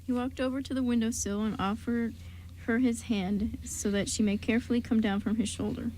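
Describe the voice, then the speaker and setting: Mellow-voiced woman narrator. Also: on the high side, steady tone, brisk, slight background noise, poor audio. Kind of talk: scripted story narration for amateur radio drama.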